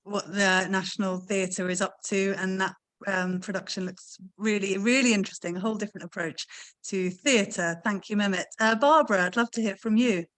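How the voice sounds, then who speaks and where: A woman hosting an online panel discussion, speaking in a measured way with frequent ums and uhs. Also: mid-range voice, steady tone. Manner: warm measured hosting with many fillers. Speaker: panel host. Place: video call.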